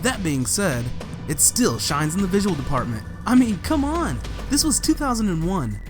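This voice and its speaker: A man's voice: high-pitched, big pitch swings, moderate pace, over music. A man co-hosting a movie countdown, reading scripted comedy narration in an exaggerated, mock-dramatic way. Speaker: co-host of a movie countdown show.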